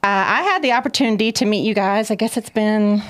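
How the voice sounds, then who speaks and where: A woman hosting a casual interview, friendly and relaxed with halting fillers. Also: mid-range voice, smooth. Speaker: podcast host.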